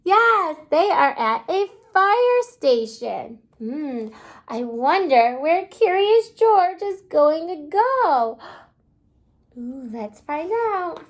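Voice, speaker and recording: Woman, kindergarten teacher. Slow, animated read-aloud delivery, high voice, prompting young listeners. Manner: expressive, prompting listeners with questions and pauses. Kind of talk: children's read-aloud lesson with questions.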